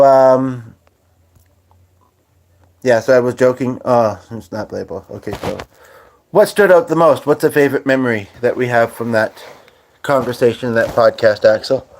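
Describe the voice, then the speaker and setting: A man's voice: mid-range voice, unhurried, pauses often, a little mellow, a little hiss or hum. A man hosting a live stream, chatting casually and meandering with lots of fillers and restarts. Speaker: podcast host. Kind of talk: rambling live-stream chat.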